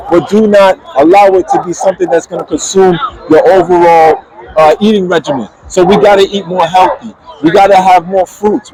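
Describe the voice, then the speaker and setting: A man's voice: sits high, low-quality recording. A man in his thirties, a calisthenics athlete, giving preachy, lesson-like advice in a casual street interview. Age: 30s. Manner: preachy, advisory, talks in lessons. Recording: outdoor crowd chatter.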